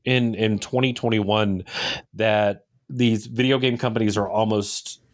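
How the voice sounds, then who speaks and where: A man's voice: on the low side, steady tone, a little slow. A man chatting casually and informally as a podcast co-host, readily giving his opinions.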